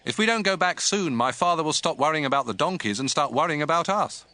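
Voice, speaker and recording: An anxious young man of humble temper, acted out in a Bible audio drama, his voice fairly high and bright. He is earnest and questioning, with lively pitch. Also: fast. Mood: worried.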